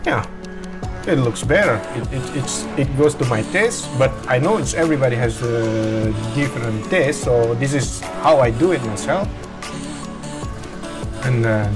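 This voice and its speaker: A young man whose first language is Indonesian, talking through his editing in a casual, halting home tutorial, often correcting himself. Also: mid-range voice. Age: young adult. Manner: halting, self-correcting, apologetic. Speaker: drone video creator. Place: small room at home, washing machine running.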